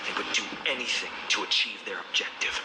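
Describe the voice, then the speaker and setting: A man's voice: fairly low pitch, fairly quick, lightly acted. Man acting stern young swordsman lord, noisy backdrop. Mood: grim explanation. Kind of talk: dramatic game voice acting. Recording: game audio under loud background noise.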